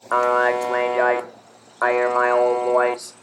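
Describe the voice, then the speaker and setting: A man speaking through an electrolarynx in a buzzing, robotic monotone, giving informal advice in halting, deliberate phrases. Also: medium pitch. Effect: electrolarynx vibration in place of natural voice. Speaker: electrolarynx user advising new users. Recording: loud steady background noise.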